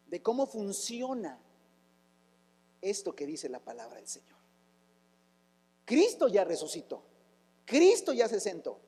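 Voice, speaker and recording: A man's voice: sits high, warm tone, big-room sound, low-quality recording. A man, preaching a fervent sermon as a pastor, asking rhetorical questions and breaking into sudden exclamations. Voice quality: raspy, rough voice.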